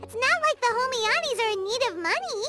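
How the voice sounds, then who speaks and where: A woman's voice: expressive, bright, forceful tone, soft background music, heavily acted. A woman voicing a tiny floating female companion in a video game cutscene, asking fretful, curious questions. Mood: worried. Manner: high, bouncy, quick questions.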